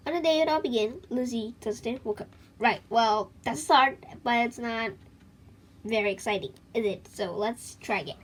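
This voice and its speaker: A woman with a high voice that has a husky, breathy edge reads a children's story aloud. She is expressive and dramatic, and she often breaks off to talk straight to her young listeners. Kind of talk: animated children's read-aloud with chatty asides.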